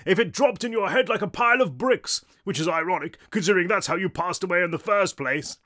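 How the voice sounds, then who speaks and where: A man voicing a pompous, theatrical English ghost with a booming, drawn-out, haughty delivery for a storybook. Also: lively intonation, sharp tone. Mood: haughty, indignant. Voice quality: booming, deep.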